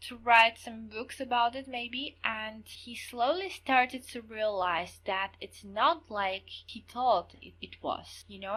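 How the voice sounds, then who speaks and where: A woman's voice: on the high side, sharp tone, rough recording. A young woman with a Slovak accent, a non-native English speaker, giving an online history lecture and recapping key points for students with casual fillers.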